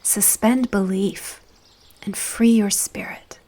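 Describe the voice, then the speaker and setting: A woman narrating a guided visualization in a soft, soothing, deliberate voice with breathy phrase endings and long pauses. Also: mid-range voice, fairly even intonation, in a whisper.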